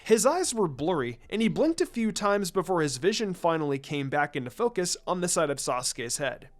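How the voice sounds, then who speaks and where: A man reading a story aloud as an expressive narrator of scripted fiction. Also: high voice, moderate pace. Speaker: story reader.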